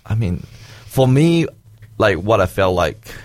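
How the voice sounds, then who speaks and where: A man's voice: lowish voice, big pitch swings, slow pace, darkish tone, no room sound. A young man from Malaysia, Chinese his first language, talking casually as an interviewee and hesitating with many fillers and restarts. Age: young adult. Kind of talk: casual conversation.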